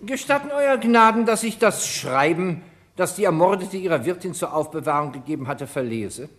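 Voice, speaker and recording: Ein Schauspieler spielt in einem Gerichtshörspiel einen förmlichen, pompösen Staatsanwalt, der pointiert und bohrend spricht. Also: male voice, sits high, lively intonation, normal speed, a bit bright. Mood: formal, insistent. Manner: formal, theatrical, pointed. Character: pompous, insistent male public prosecutor in court. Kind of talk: German radio courtroom drama acting.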